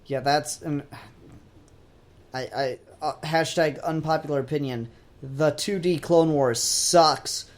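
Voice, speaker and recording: A man's voice: fairly high pitch, steady tone, slow pace. A man talking casually as a podcast host, opinionated and emphatic, swearing freely. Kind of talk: casual pop-culture podcast discussion.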